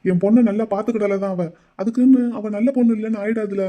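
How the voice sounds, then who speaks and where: A man's voice: sits high, fairly even intonation, quick pace, muffled recording, lightly acted. A man voicing a pleading, defensive brother-in-law. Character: pleading brother-in-law caught in a family quarrel.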